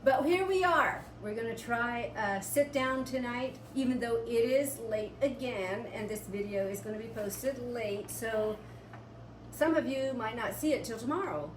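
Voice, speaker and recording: A woman chats casually as co-host of a homestead vlog, in a fairly high voice at an unhurried pace. The sound is roomy and a little distant from the mic, with faint noise. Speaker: co-host of a family homestead channel. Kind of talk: casual unscripted chat.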